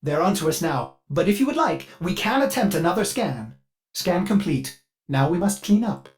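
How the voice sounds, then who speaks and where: A man's voice: high-pitched, very animated, normal speed. A man voicing a fussy, eager ship artificial intelligence in a video game, polite and theatrical, with a manic edge under the courtesy. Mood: dutiful, reporting. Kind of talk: scripted video game character dialogue.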